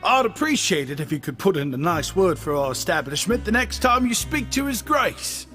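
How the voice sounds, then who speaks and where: A courteous shopkeeper thanks customers in a smooth, lively voice. Game music plays clearly beneath him. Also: male voice, high voice, moderate pace, full character voice. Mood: polite. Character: polite male shopkeeper, courteous. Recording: game audio under music.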